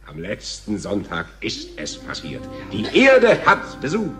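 An actor playing a showy, pompous TV talk show host in a theatrical radio drama style. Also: male voice, lowish voice, huge pitch swings, over music. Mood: grandiose. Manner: theatrical, grandiose, dramatic pauses.

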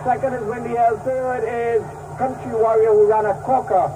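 High-pitched male race caller, fast clipped delivery, distant old broadcast sound. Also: level tone, noisy background. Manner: rapid, clipped race calling. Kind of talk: horse racing commentary.

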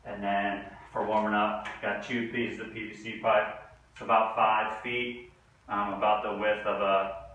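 A young man talks through his home garage gym in a loose, casual way, dropping frequent ums and uhs. His voice sits low, with a bright, forceful edge. He is recorded by a camera a few meters off, so the hard garage walls ring around him. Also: slight background noise. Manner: relaxed, many ums and uhs. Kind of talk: casual walkthrough tour. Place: two-car home garage gym, indoors. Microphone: camera mic from a few meters away. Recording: echoey garage, distant from mic.

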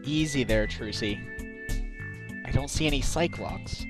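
A man voicing a level-headed, wry defense lawyer with a dry, measured delivery in a game fan dub. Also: medium pitch, music in the background, close to an everyday voice.

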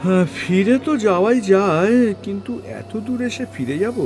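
A man's voice: high voice, expressive, moderate pace, darkish tone, soft background music. A man playing a weary, irritable government overseer in an audio story, grumbling and bossy in colloquial speech. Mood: businesslike, deciding. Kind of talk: Bengali audio story dramatization.

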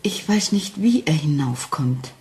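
Anxious, haunted wife in radio drama. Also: female voice, low voice, very animated, a little mellow. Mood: haunted, fearful. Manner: anxious, halting, intense, dropping into whispers. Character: frightened, haunted wife doubting her own sanity. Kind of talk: German crime radio play acting.

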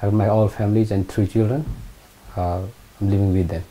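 Halting middle-aged Nepali-accented man. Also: lowish voice, steady tone, dark tone, poor audio. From eastern Nepal. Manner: hesitant, halting, repeats phrases. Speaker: interviewee, retired British Army Gurkha. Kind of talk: oral history interview, personal recollection. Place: small room indoors, seated on a sofa. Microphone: lapel mic.

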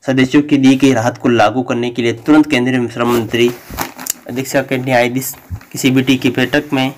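A man hosting a news channel, reading a bulletin aloud in a flat, halting way. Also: mid-range voice, slight background noise.

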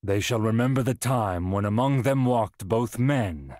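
An actor playing a solemn storyteller narrating a video game epilogue, grand and measured with wry touches. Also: male voice, fairly low pitch, lively intonation, darkish tone, dry recording, clean studio sound. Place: dry studio.

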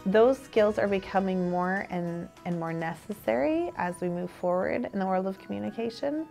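A woman's voice: mid-range voice, normal speed, vocal fry, dark tone. An American woman in her thirties speaking earnestly in a documentary interview as a video producer. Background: faint music.

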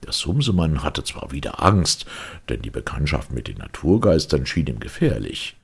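Male fairy-tale narrator, gravelly and hugely animated in pitch, theatrical children's audiobook delivery. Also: normal speed. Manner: theatrical, dramatic storytelling.

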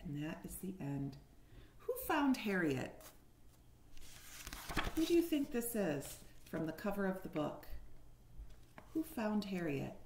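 A woman's voice: mid-range voice, slow, long pauses, a little hiss or hum. A woman in her forties reading a picture book aloud expressively and talking to young children. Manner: animated, explaining and questioning for young children. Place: living room, indoors. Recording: echoey room.

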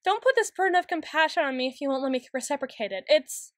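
A woman playing a proud, anxious, easily flustered tsundere young woman in an intimate ASMR roleplay, stammering and halting with wordy, formal phrasing. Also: high-pitched, expressive, fairly bright tone, no room sound, clean studio sound. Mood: anxious, pleading. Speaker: audio roleplay voice actor.

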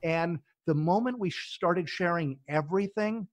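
A man's voice: high voice, moderate pace, dry recording. A middle-aged man, a business founder, talking eagerly as a podcast guest and often restarting his words. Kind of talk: casual interview talk.

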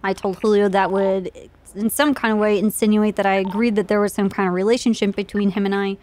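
A woman's voice: medium pitch, flat delivery, brisk, a little mellow. A young woman streamer roleplaying a police officer who argues a case in a comedic mock courtroom, speaking with mock-formal courtroom politeness. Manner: mock-formal, addresses the judge as Your Honor.

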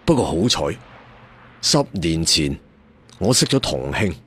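Man acts young martial-arts villain for audiobook: low, slow, weary menace, slightly husky. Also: sing-song, fairly bright tone, heavily acted. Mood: brooding, menacing. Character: brooding, menacing young tiger-tattooed swordsmen's leader.

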